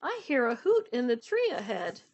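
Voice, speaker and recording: A woman's voice: a little high, wildly swooping pitch, normal speed, darkish tone, muffled recording. A woman voicing a big, gullible storybook monster who draws out words ponderously. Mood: amazed.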